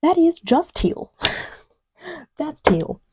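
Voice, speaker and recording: A woman speaking softly and often half-whispering, murmuring to herself in a casual, ASMR-style ramble. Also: high-pitched, big pitch swings, drawn-out words, dry recording. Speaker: audio creator.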